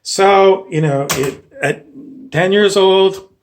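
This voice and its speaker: Casual high-voiced man telling anecdotes. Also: slow pace, slight background noise. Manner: animated, acts out imagined people's complaints. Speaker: speaker giving an informal teaching. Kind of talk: casual rambling monologue with anecdotes.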